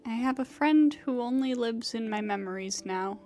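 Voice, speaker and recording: A woman's voice: fairly high pitch, normal speed, darkish tone, faint music behind. A woman streaming a game, reading dialogue aloud and reacting as she plays. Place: voice-over on a game livestream.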